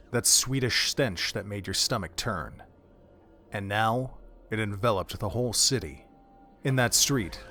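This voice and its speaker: A man narrating a fan audio drama in a steady, measured storytelling manner. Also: fairly low pitch.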